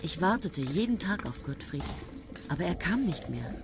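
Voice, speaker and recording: An actress in a radio play playing a young woman student who speaks softly and dreamily. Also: on the low side, sing-song, normal speed, creaky, background noise. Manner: soft and hushed, dreamy. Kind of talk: radio play acting and narration.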